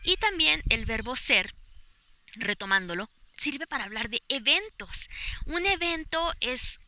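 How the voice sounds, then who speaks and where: A woman's voice: sits high, sharp tone, slight background noise. A woman with a Mexican accent, a Spanish teacher giving a podcast lesson outdoors in a park, explaining patiently with many fillers and examples.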